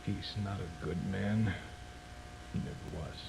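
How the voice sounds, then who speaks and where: A man performs a grieving, bitter father in an analog horror drama. His voice is lowish and gravelly, with somber, halting delivery. A clear hum and noise run through the recording. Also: fairly even intonation. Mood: bitter, vengeful. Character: grieving, vengeful father recording a tape for his dead child. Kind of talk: dramatic found-footage monologue. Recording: noisy, humming tape-like recording.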